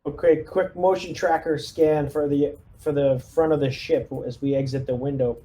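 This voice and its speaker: A man's voice: a little high, fairly even intonation, normal speed, warm tone. A man playing a tabletop roleplaying game, chatting casually and giving orders in character in his everyday voice.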